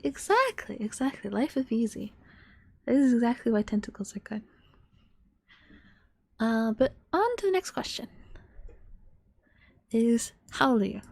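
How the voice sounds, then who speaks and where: A woman of twenty-one chatting to her stream in a soft ASMR whisper, rambling and giggly. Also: on the high side, slightly rough, no echo.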